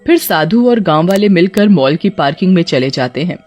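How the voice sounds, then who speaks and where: A woman's voice: on the low side, lively intonation, a little fast, a little mellow. A woman narrating a scripted cartoon horror story.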